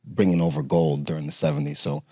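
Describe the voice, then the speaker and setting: A middle-aged man from Romania, a podcast guest telling his family story in a halting way with many fillers and restarts. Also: a bass voice, level tone, darkish tone, slightly rough, no echo, clean studio sound. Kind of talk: conversational interview storytelling.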